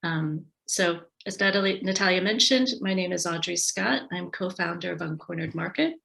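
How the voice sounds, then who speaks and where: A woman's voice: on the low side, moderate pace, gravelly, a bit bright. An American woman giving a friendly, conversational online presentation over a video call, with frequent ums and small restarts. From United States. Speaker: tourism marketing advisor presenting a webinar. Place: indoors at home base in a city.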